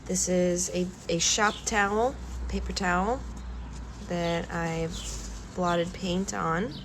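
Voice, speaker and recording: A woman vlogger with a cold and a slightly stuffy nose, talking softly and hesitantly with many pauses during a calm art demonstration. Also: on the low side, background noise. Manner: slow, soft-spoken, hesitant with many ums. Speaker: collage artist vlogger.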